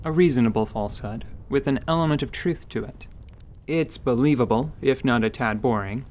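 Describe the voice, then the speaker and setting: A man's voice: medium pitch, moderate pace, darkish tone. Narrator, man, middle register, scripted storytelling for a fantasy radio play, faint background noise.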